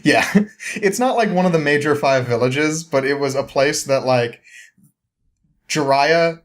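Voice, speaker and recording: A man's voice: on the high side, measured pace. A young man recounting a story in casual chat as a podcast guest, informal and prone to chuckling.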